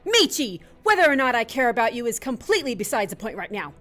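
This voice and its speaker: A woman's voice: on the high side, very animated, fast talker, fairly bright tone, soft background music. A woman playing a stern, unsympathetic drama teacher in an audio drama. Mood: stern, exasperated.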